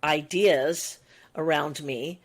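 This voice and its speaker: A woman's voice: deep voice, very slow. A woman in her sixties co-hosting a podcast, talking in a reflective, musing way with long winding sentences. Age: 60s. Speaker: podcast co-host. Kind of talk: casual conversational chat.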